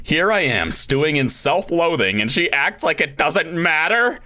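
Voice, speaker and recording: Man playing a brooding, low-voiced young hothead. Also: expressive, moderate pace, a bit bright. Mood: angry, hurt. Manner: intense, dramatic, introspective monologue. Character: brooding, self-doubting young male amateur scientist, hot-tempered. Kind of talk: visual novel narration read aloud. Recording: music behind the voice.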